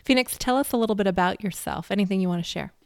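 A woman's voice: mid-range voice, very fast, gravelly, dry recording, clean studio sound. A woman hosting a podcast, upbeat and enthusiastic, laughing readily as she introduces and questions her guest. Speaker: podcast host. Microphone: video call.

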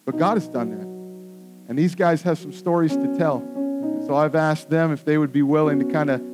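A man preaching as a church pastor, animated and conversational, with quick asides to the congregation. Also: fairly high pitch, music in the background, poor audio. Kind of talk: sermon.

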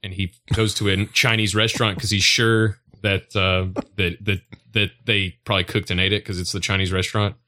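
A man's voice: lowish voice, flat delivery, a little fast, few pauses, bright, forceful tone. A man co-hosting a comedy podcast, chatting casually in an irreverent, joking way. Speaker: podcast co-host.

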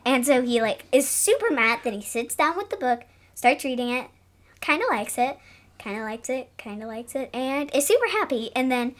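High-pitched, animated woman bantering. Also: normal speed. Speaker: comedy podcast co-host. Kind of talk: casual bantering chat.

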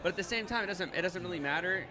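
A man's voice: high voice, racing, a crowd in the background, poor audio. A man in his twenties chatting casually as a podcast guest, rambling and swearing a lot. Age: 20s. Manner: excitable, swears often, rambling. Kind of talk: casual chat. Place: brewery.

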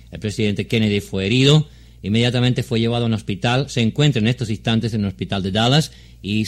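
A man reporting live news formally on 1960s shortwave radio, with an occasional stumble. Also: fairly low pitch. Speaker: Spanish-language news reporter.

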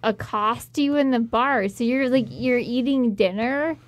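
Slow-talking woman co-hosting a talk show. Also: fairly high pitch, dry recording. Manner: teasing, questioning, chatty. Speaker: co-host and comedian. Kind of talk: casual talk show chat.

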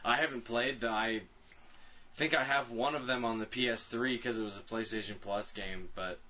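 A man's voice: mid-range voice, steady tone, moderate pace, sharp tone. A man co-hosting a podcast, talking casually and with opinions about films and games, emphatic and repeating phrases for stress. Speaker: podcast co-host.

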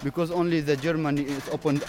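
A young Syrian man with an Arabic accent, speaking halting, broken English and searching for words in an outdoor field interview. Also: a little high, fairly even intonation, darkish tone, a little hiss or hum. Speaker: refugee interviewee. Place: outdoors on a dirt road between farm fields.

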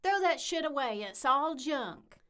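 Woman acting a high-voiced, sassy Southern mom. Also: animated, unhurried, pushed and bright, exaggerated voice. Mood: irritated. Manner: sassy, exasperated, folksy. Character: brash, foul-mouthed Southern single mom at a ball field. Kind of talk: audio drama acting with narration.

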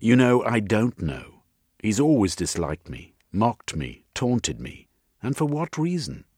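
A man voicing an earnest, decent British gentleman in an audiobook, with proper, old-fashioned upper-class phrasing. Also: fairly low pitch, big pitch swings, slow, husky, no echo, studio quality, voice pushed up, heavily acted. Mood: aggrieved. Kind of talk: audiobook character voice.

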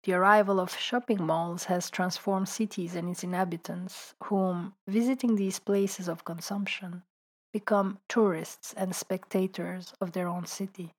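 A woman, a writer and translator, reading her own reflective essay in a calm, thoughtful way, sometimes dropping to a soft whisper. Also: on the low side, a little slow. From Belgium. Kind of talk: reflective personal essay narration.

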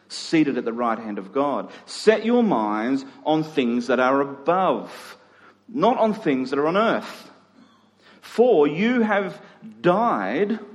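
A man's fairly high voice delivers a sermon in a hall with long reverb. He talks conversationally to the congregation, leaning on key phrases with deliberate emphasis. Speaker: preacher. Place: large reverberant room, live church service.

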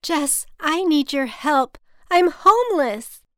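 A woman's voice: fairly high pitch, expressive, measured pace, no room sound, clean studio sound. A woman voicing a distraught, self-pitying older mother who is profane and accusing, in an audiobook story. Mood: pleading, self-pitying.

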